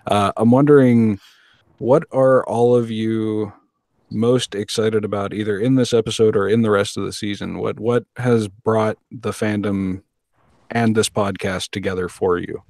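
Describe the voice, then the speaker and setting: Male guest host, fairly deep, dry sound, casually moderating a group chat. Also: steady tone, measured pace, a little mellow, dry recording. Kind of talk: casual hosting, posing questions.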